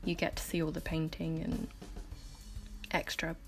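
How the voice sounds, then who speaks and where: A woman with a croaky just-woke-up voice, giving a chatty, informal voiceover as an artist explaining her process. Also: sits low, monotone, unhurried. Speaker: artist making painting process videos. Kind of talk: casual voiceover commentary. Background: music.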